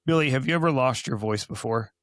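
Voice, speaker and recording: A man co-hosting a podcast, hoarse from recently losing his voice, chatting casually and rambling through many restarts. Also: medium pitch, quick pace, fairly bright tone, no room sound, clean studio sound. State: hoarse, recovering from lost voice. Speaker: podcast co-host.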